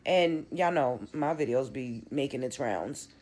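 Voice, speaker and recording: A woman's voice: extremely low pitch, animated, unhurried, darkish tone. A woman, ranting bluntly and profanely as an online commentator and comedian. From Brooklyn, New York. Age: 30s. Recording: roomy echo.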